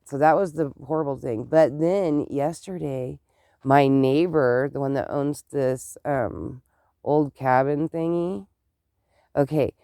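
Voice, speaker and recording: A stuffed-up vlogger talking slowly and loosely, wandering from one thought to the next. The voice is mellow and smooth, with a congested, nasal edge from a head cold. The sound is dry and close. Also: mid-range voice, no echo. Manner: chatty, meandering, exclaims often. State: congested, stuffed-up nose and clogged ears. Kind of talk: casual rambling chat to camera.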